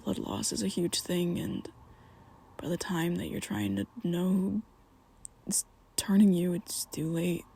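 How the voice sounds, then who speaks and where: Young male vampire, guilt-ridden best friend, hushed ASMR whisper with a raspy, fry-edged voice; a man performs it. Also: high voice, steady tone, exaggerated voice. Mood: hushed confessional. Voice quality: soft close whispering. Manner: halting, confessional, with restarts. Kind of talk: ASMR roleplay audio.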